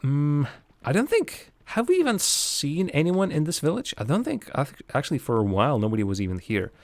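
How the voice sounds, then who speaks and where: A man's voice: a little high, huge pitch swings, a little fast, no room sound. A young man from Latvia, not a native English speaker, thinking aloud as he plays a game on a livestream and answers chat. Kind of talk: casual live gameplay commentary.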